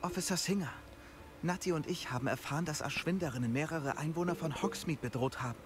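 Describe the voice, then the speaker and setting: An actor playing a bold, earnest teenage student wizard in a video game, polite but determined. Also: male voice, on the high side, fast talker, natural voice. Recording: game ambience noise behind.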